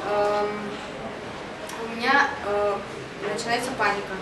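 A woman's voice: medium pitch, fairly even intonation, a little slow. A young woman from Kyrgyzstan talking frankly and with exasperation in an interview. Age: young adult. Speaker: international student. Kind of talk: casual interview. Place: stairwell indoors. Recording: distant echoey stairwell with hiss.

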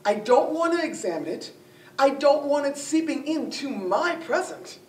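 A woman acting an earnest, resolute public speaker in a formal, emphatic stage monologue. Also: a little mellow. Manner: deliberate, emphatic, oratorical. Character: earnest, resolute woman arguing to bury the past. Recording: echoey room, distant mic, rumbling noise.

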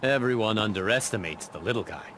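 A man's voice: lowish voice, lively intonation, quick pace, a bit bright. A man playing an earnest, modest young scientist superhero in a video game, polite and precise. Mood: modest, eager. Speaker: voice actor. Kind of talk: video game pre-fight dialogue. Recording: very noisy game audio with effects.

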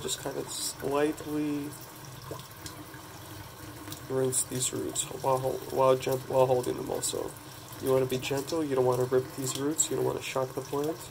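A man with a stuffy, congested voice talks through a home gardening task at a kitchen sink. His delivery is flat and even, halting often to restart words. A faint running tap hisses behind him. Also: mid-range voice, creaky. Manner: relaxed, halting, with restarts and self-corrections. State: stuffy from a cold. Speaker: hydroponics gardening channel host. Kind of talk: casual how-to demonstration. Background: running tap water.

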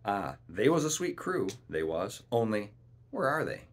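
Man voicing a husky, cunning old-time pirate cook. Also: lowish voice, sing-song, a little slow, full character voice. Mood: scornful. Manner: wheedling, boastful, rustic seafaring speech. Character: cunning, domineering pirate ship's cook, scheming and menacing.

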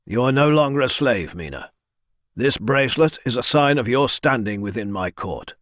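A man voicing a stern, powerful lord who speaks in a measured, formal way. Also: mid-range voice, lively intonation, a bit bright, dry recording, studio quality, lightly acted.

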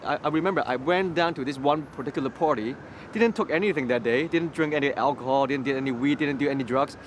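A young man from Hong Kong, Cantonese his native tongue, speaks in a slightly high voice. He talks haltingly, repeating words as he tells his story. It is recorded on a lapel mic outdoors, with street traffic clearly heard behind him. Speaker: interview guest. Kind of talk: personal testimony interview. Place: outdoors on a city street by a graffiti wall. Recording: street noise in the background. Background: city traffic noise.

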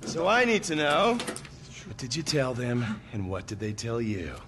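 Sneering, bullying American fed for a crime game, animated delivery, cocky and threatening. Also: male voice, mid-range voice, normal speed, noisy background. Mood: menacing, worked up. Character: arrogant, bullying, foul-mouthed federal agent man. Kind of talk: video game voice acting.

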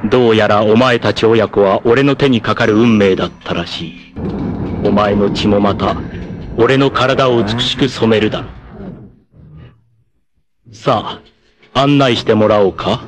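A man's voice: a little slow, long pauses, over music, close to an everyday voice. A man playing a vain, narcissistic, treacherous martial-arts villain in a 1980s anime, with a haughty, theatrical, mocking delivery. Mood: smug menace. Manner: theatrical, haughty, mocking, erupting into shrill outrage. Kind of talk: dramatic 1980s anime voice acting.

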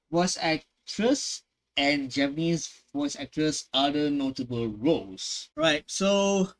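A man in his twenties giving casual, slangy reaction commentary, exclaiming and repeating his phrases. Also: fairly high pitch. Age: 20s. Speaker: reaction video host, gamer. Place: small bedroom, indoors.

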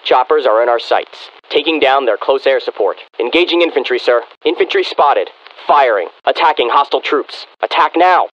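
An actor playing a disciplined American infantry soldier in a military video game, barking clipped, forceful reports to his commander. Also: mid-range voice, highs cut off, close to an everyday voice. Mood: alert, forceful.

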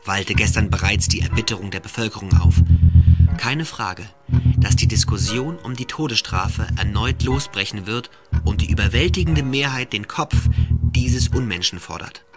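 A man acts as a stern reader of official documents in a radio drama. His voice is fairly low and formal, set over prominent music. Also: normal speed, lightly acted. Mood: stern, indignant. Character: stern male official reading reports and press commentary.

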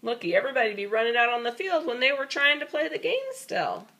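A woman reading a storybook aloud to a child in an expressive, folksy, playful way with chatty asides. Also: a little high, fast.

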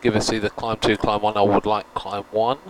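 A man's voice: on the low side, brisk, slight background noise. A young British man flying a home cockpit simulator, chatting casually and reading out flight figures on a headset. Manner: relaxed, chatty, reads out figures. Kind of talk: casual cockpit chat and radio calls.

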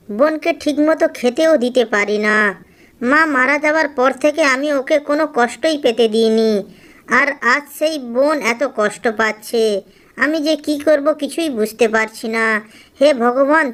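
Children's cartoon heroine, woman performer as a caring little female bird, high voice, earnest and emotive. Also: fairly bright tone. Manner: earnest, emotive storybook delivery. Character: caring, earnest young female bird, two devoted sisters.

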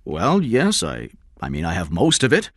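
A man's voice: mid-range voice, big pitch swings, normal speed, fairly bright tone, no echo, studio quality, pitched above the performer's own voice. A man playing a tense, defensive rich father in an audiobook, strained and hesitant. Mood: nervous, hesitant.

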